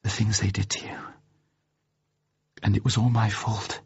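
A man voicing a guilt-ridden young woman in an audiobook, soft, hesitant and apologetic. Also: fairly low pitch, lively intonation, a little fast, no echo, pitched above the performer's own voice. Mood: tearful, guilty. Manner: hesitant, muffled, often hushed to a breathy whisper.

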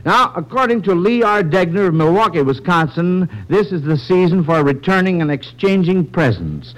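An American man hosts an old-time radio quiz in a high, swooping voice. He chats in a folksy way and calls on young contestants by name, all on a vintage 1940s broadcast recording. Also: animated, normal speed, poor audio. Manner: addresses child contestants by name, folksy asides. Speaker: quiz show host.

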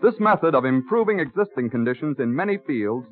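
A man with an American accent announcing in a formal, measured, scripted 1930s radio style. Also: mid-range voice, very animated. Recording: tinny, band-limited 1930s radio broadcast.

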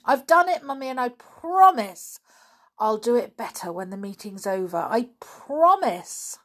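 A woman voicing an eager, excitable young schoolgirl in a children's storybook reading. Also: medium pitch, unhurried, husky, voice pushed up. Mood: eager, promising. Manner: excitable, insistent. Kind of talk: children's storybook read aloud.

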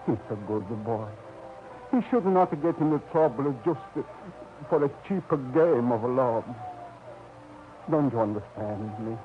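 An actor playing a worried older immigrant father with an Italian accent, pleading in halting broken English, in a 1940s radio drama. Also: medium pitch, expressive, faint music behind, close to an everyday voice. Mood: earnest. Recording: muffled old radio recording.

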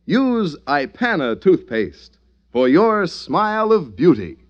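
A man's voice: a little high, sing-song, takes it slowly. A male announcer giving a polished, persuasive scripted read in the manner of 1940s radio advertising. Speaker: commercial announcer. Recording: muffled old radio recording.

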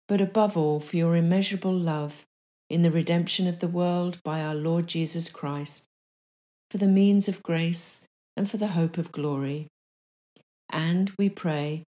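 A woman's voice: on the low side, warm tone. A woman reading a formal liturgical prayer aloud. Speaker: prayer reader.

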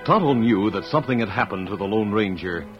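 A man's voice: lowish voice, animated, a little fast, over music. A man narrating a western adventure as a scripted old-time radio announcer. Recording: dull old radio recording.

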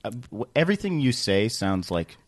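A man works as a podcast host, asking questions in a casual, somewhat halting way. His voice sits at an average pitch, recorded dry with little room sound. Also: big pitch swings, no echo. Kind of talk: casual conversational interviewing. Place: production studio.

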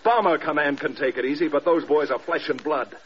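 Actor as a quick-talking, defensive bomber commander. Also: male voice, fairly high pitch, natural voice. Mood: bitter, heated. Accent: American. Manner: defensive, blunt, urgent. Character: fiercely loyal, exhausted male bomber group commander. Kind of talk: classic American radio drama acting. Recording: dull, noisy old radio recording.

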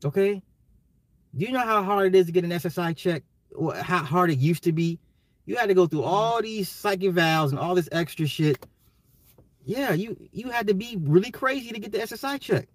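American male livestream host, fairly high voice, close dry sound, emphatic and slangy, slightly hoarse. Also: expressive, normal speed, dry recording. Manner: emphatic, repeats phrases, slangy, swears, chuckles. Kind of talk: casual opinionated livestream rant.

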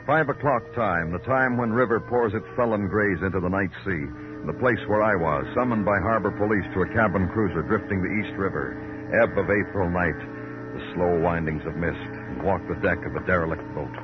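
An actor playing a weary, brooding American police detective in a dramatic old radio crime drama, speaking in clipped, poetic phrases. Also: male voice, low-pitched, a little fast, lightly acted. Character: weary, brooding male police detective narrating his case. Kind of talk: 1940s-50s radio crime drama acting. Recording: dull old radio recording, loud music.

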